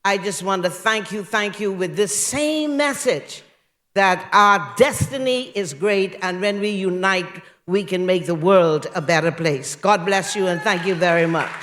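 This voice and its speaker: A man delivers an acceptance speech in a high, bright voice. He speaks slowly and emphatically, leaning into each phrase. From Bahamas. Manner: slow, emphatic, rousing delivery. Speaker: award recipient, media entrepreneur.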